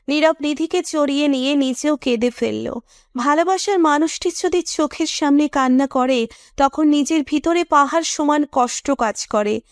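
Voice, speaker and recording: A woman narrates a scripted romance. Her voice is high, and the recording is dry and close with hardly any room sound. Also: no echo.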